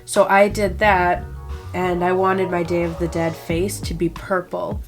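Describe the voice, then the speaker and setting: A woman's voice: fairly low pitch, flat delivery, unhurried. Stuffy-nosed young woman chatting through makeup. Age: 20s. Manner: chatty, self-deprecating, thinking aloud. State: stuffed up from a cold. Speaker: beauty vlogger. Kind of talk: casual makeup tutorial talk. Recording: music bed under the voice.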